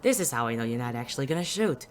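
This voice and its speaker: A woman playing a wry, gruff male police detective in a noir audio drama, with deadpan, sardonic delivery. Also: very deep voice, rapid-fire. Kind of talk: noir audio drama dialogue and first-person narration. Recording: music behind the voice.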